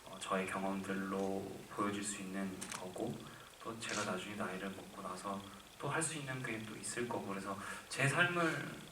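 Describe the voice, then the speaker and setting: A man's voice: deep voice, normal speed, few pauses, background noise. A man in his twenties, an actor answering a question at a film Q&A, speaking earnestly and thinking aloud with frequent restarts. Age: 20s. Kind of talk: live Q&A answer. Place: cinema auditorium. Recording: echoey cinema from far off.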